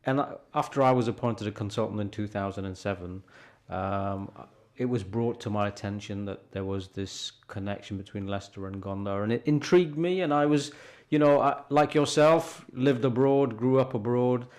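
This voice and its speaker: A middle-aged man, a hospital consultant, explaining with measured good humour in a radio interview. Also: lowish voice, a little mellow. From born in London, grew up in North India. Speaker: hospital consultant doctor, guest.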